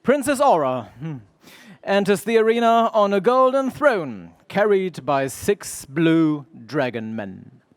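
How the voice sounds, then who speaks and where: A man's voice: high voice, sing-song, takes it slowly, no room sound. A man narrating an adventure radio serial in a theatrical, suspenseful scripted style.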